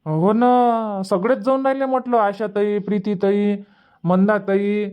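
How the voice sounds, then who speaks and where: Man as cartoon village brother, clear smooth tone, Varhadi Marathi, friendly, chatty, colloquial. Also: sits high, steady tone, measured pace, darkish tone. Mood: friendly, informative. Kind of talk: cartoon comedy dubbing, casual phone chat.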